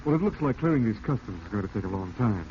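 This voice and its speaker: An actor playing a scheming, indecisive husband in a 1940s radio drama, talking smoothly but evasively. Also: male voice, fairly low pitch, animated, fast talker, a little mellow, natural voice. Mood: genial. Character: scheming, indecisive husband plotting murder, outwardly genial. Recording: muffled, hissy 1940s radio recording.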